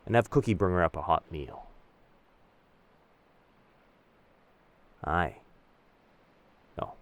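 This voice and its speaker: A low-voiced pirate captain, acted by a man for an audio drama, roguish and commanding, with old seafaring turns of phrase. The delivery swings widely in pitch, able to be both tender and menacing. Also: lively intonation, moderate pace. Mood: cool, commanding. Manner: old seafaring diction, addresses others as lass and sir. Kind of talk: roleplay audio drama, speaking to the listener.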